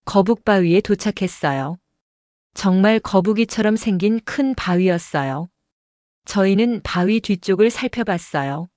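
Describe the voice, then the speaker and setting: A woman narrating an audio drama in a steady storytelling voice. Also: medium pitch, measured pace, no room sound.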